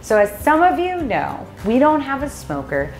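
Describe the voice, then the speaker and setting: A woman's voice: high voice, very animated, normal speed, roomy sound, soft background music. A woman in her thirties co-hosting a vlog, cheerfully walking through a recipe step by step with small jokes, in a home kitchen. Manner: upbeat, jokey, step-by-step instructions. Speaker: vlog co-host. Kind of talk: casual cooking demo and chat.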